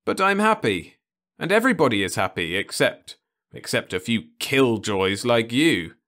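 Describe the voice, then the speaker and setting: A man's voice: medium pitch, sing-song, normal speed, fairly bright tone, voice pushed up. A man voicing an earnest young man insisting everyone is content, in a storybook reading. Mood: insistent.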